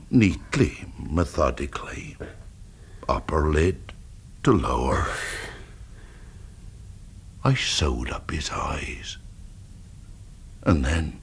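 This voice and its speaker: Very deep, sinister vengeful storyteller. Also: male voice, full character voice. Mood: cold satisfaction. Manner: hushed, halting, lingering on words, sinking to whispers. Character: vengeful blind man coldly savouring his cruel revenge. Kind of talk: radio drama storytelling monologue. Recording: faint background noise, old radio drama.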